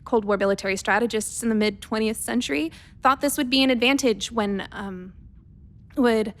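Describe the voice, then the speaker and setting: A woman's voice: a little high, moderate pace, fairly bright tone. A woman playing a methodical, worried spaceship doctor dictating a research log in a radio play, clinical and precise but hesitant. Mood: clinical, measured.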